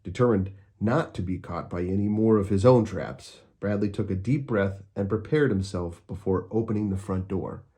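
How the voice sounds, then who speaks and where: A man narrating a children's storybook aloud, expressively and with deliberate, dramatic emphasis. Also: deep voice, mellow.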